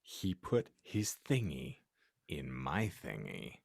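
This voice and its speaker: A man's voice: extremely low pitch, very animated, slow pace, gravelly, slightly rough, no room sound, studio quality. A man giving a comic dramatic reading of badly written fiction as a podcast co-host, tripping over words and breaking into laughter. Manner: stumbles over misspelled text, breaks into laughter.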